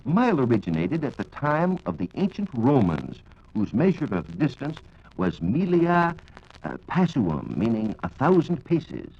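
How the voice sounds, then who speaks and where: A man's voice: medium pitch, wildly swooping pitch, measured pace, darkish tone, husky. An American man working as a science reporter on 1940s radio, giving precise, formal scripted explanations. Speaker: science reporter answering listener questions. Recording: dull lo-fi 1940s radio recording.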